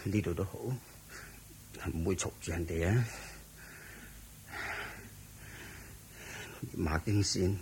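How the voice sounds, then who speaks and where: An actor playing a sickly, anxious monk disciple in a Cantonese radio drama, speaking deferentially and earnestly. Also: male voice, deep voice, unhurried, darkish tone, slight background noise, low-quality recording. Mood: considerate, subdued. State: sickly, prone to coughing.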